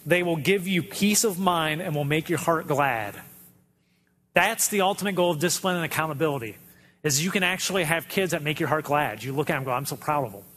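A man's voice: a little high, lively intonation, brisk, fairly bright tone, slight background noise. A man preaching a sermon as a pastor, earnest and direct, driving points home with repetition. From Detroit area. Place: church sanctuary. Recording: long hall reverb.

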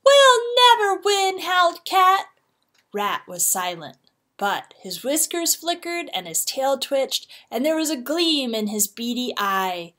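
A woman narrating a children's storybook expressively, with deliberate, dramatic phrasing. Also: a little high, sing-song, a bit bright. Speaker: read-aloud teacher.

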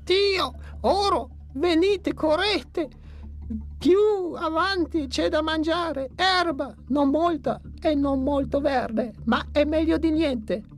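Lady doing a squeaky excited little pterosaur guy, cartoon, all breathless. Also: slow. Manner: breathless, eager shouting. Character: excitable young male pterosaur.

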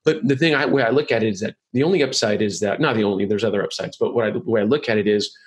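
A middle-aged man hosting a podcast, chatting casually and joking, rambling with quick restarts. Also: on the low side, husky. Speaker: podcast host. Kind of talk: casual interview chat.

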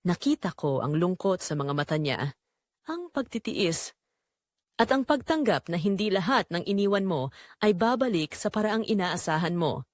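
Animated woman narrating a dramatic story. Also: lowish voice, moderate pace, dry recording, clean studio sound.

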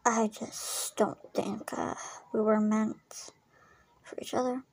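A woman's voice: a little high, a little slow, breathy edge, dry recording. A woman voicing a shy, awkward young man who stammers and apologizes in a hesitant way, in an amateur acted story. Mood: subdued.

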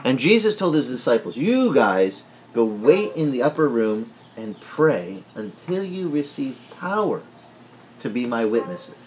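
A middle-aged man whose first language is Italian, preaching an animated teaching sermon indoors and asking the room questions. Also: mid-range voice, unhurried, slight background noise, low-quality recording. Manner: animated, gesturing broadly, asks the room questions. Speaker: pastor. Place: wood-paneled indoor room.

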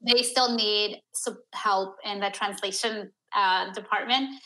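A woman's voice: mid-range voice, fairly even intonation, slow, pushed and bright, low-quality recording. A woman from Peru talking as a podcast guest, reflective and searching, with many "like" fillers. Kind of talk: candid interview conversation.

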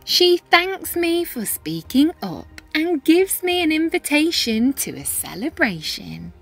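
Woman doing a kids book read-aloud, high voice, slow n dramatic, bit of music behind. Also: gravelly. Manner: animated, dramatic pauses and emphasis. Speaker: read-aloud storyteller, teacher-style reader. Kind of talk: expressive children's picture book read-aloud.

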